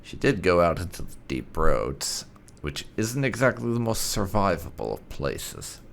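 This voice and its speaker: Slow, creaky man with swooping pitch. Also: big pitch swings, a little hiss or hum. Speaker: gaming video host. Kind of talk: roleplay video game commentary.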